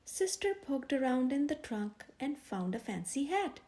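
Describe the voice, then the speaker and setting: A woman narrates a children's storybook in a high, mellow voice. Her phrasing is expressive, with plenty of rise and fall. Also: measured pace. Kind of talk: children's picture book read aloud.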